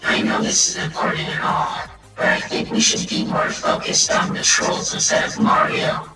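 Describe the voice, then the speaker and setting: A man's voice: moderate pace, sharp tone, close to an everyday voice. A man acting an impatient but level-headed animal hero in an amateur comic dub, urging in an exasperated way. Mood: earnest, concerned. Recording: distant echoey mic with music underneath.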